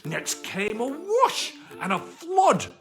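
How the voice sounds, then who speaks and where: A woman reading a children's bedtime story aloud with dramatic, drawn-out words. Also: sits high, huge pitch swings.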